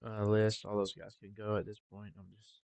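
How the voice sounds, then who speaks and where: A young man talking casually over a game with a congested, stuffy nose and a relaxed, rambling manner. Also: lowish voice, normal speed, dry recording, lo-fi sound. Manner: relaxed, rambling with filler ums. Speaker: gaming channel host. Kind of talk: casual gameplay commentary.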